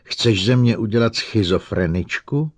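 A man acts out an agitated woman psychologist from an audiobook. She is bitter, sarcastic and close to hysteria, given to sharp outbursts. The voice is on the low side, recorded dry. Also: animated, dry recording. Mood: hysterical, accusing. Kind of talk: audiobook character voice.

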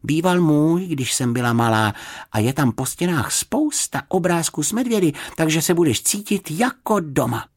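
A cheerful young girl in a children's storybook, played by an adult narrator. She chatters in a high, lively voice, confident, eager and friendly. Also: slightly rough.

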